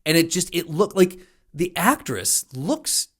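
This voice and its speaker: A man co-hosting a podcast in casual movie chat, venting with profanity and exasperation, often repeating himself for emphasis in a halting way. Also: on the high side, big pitch swings, a bit bright. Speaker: podcast co-host.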